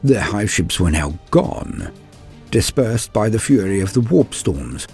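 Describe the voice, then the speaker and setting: A man giving a grave, theatrical reading of scripted war lore as a narrator, in measured phrases. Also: on the low side, very animated, darkish tone. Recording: voice over background music. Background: music.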